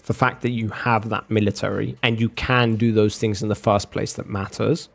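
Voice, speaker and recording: A man's voice: fairly low pitch, level tone, normal speed, creaky, fairly bright tone, faint music behind. A man in a casual argumentative rant, sarcastic and sweary, calling the listener bro. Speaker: streamer arguing with a chat viewer.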